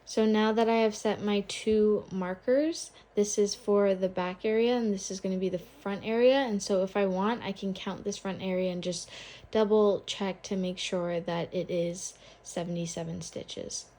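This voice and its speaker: Young woman, slight cold, casual knitting tutorial, relaxed chatty delivery, mid pitch. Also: normal speed. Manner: chatty and relaxed, thinking aloud while counting stitches. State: has a cold. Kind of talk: casual step-by-step craft tutorial.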